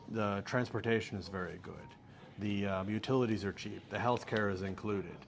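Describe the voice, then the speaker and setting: Relaxed American man, low voice. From United States. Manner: relaxed, joking, laughs easily. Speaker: interview guest. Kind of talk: casual interview answers. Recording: faint background noise.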